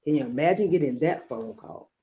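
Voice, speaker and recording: A woman reading a children's picture book aloud, adding gentle explanatory asides for young listeners. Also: sits low, lively intonation, a little fast, noisy background. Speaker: read-aloud storyteller.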